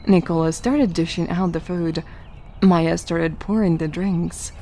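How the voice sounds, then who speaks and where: A woman's voice: on the low side, steady tone, unhurried, warm tone, a little hiss or hum. A woman giving scripted third-person narration for an audio drama.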